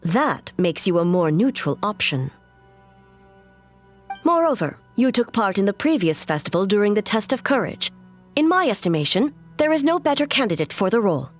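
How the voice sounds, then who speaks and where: A stately female ruler from a video game, in a mid-pitched voice. She is formal, composed and quietly stern, with faint music behind her. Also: big pitch swings, normal speed. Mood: solemn, formal.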